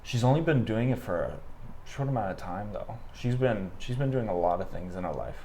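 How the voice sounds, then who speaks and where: A young Canadian man in his twenties, talking in a relaxed, rambling way with many fillers as a guest on an interview podcast. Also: fairly low pitch, fairly quick, slight background noise. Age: 20s. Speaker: guest, amateur boxer. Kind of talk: casual interview conversation.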